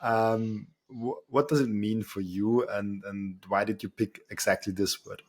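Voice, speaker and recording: Low-voiced male host. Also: fairly even intonation, a little slow. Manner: agreeing eagerly, with frequent "uh"s and repeated words. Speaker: podcast host. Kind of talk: casual interview talk.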